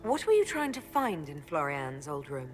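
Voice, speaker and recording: A woman's voice: sits low, big pitch swings, moderate pace. A woman playing a composed female elf mage who leads others in a fantasy game, direct, questioning and controlled. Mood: composed, probing. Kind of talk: fantasy game cutscene acting. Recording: music behind the voice.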